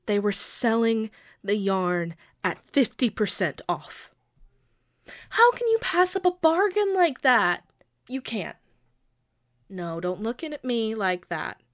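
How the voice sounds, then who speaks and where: A woman's voice: fairly high pitch, big pitch swings. A woman playing a quirky, sheepish young girlfriend who pleads and defends herself hesitantly in an intimate audio roleplay. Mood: nervous, pleading. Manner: hesitant, pleading, halting with pauses. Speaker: amateur audio roleplay voice actor.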